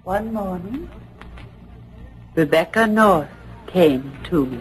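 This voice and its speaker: An actress playing a fearful, haunted matron who gives halting, dramatic testimony in an old radio drama about a witch trial. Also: lowish voice, expressive, soft background music. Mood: haunted, intense. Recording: old radio recording with faint noise.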